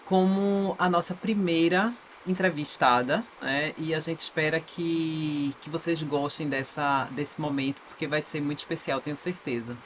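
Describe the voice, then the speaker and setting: A woman co-hosting a podcast, introducing a guest in a measured, admiring way. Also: deep voice, fairly even intonation, slight background noise. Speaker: podcast co-host. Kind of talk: podcast interview.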